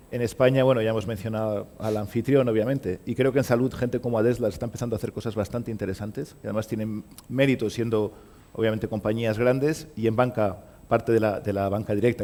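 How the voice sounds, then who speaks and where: A man on a conference panel, calmly listing examples one by one. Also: on the low side. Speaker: panelist, consultant. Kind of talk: panel discussion. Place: conference venue. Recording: reverberant conference hall.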